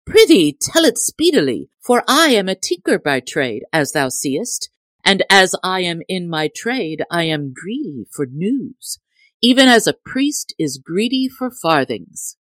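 A woman's voice: fairly low pitch, animated, takes it slowly, a bit bright, dry recording. A woman voicing a hot-tempered, hearty male tinker in emphatic, archaic storybook speech. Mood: eager.

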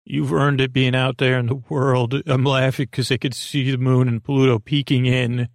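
Creaky-voiced man rambling gently through a bedtime podcast. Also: medium pitch, level tone, dry recording, clean studio sound. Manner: meandering, halting, whimsical. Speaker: podcast host.

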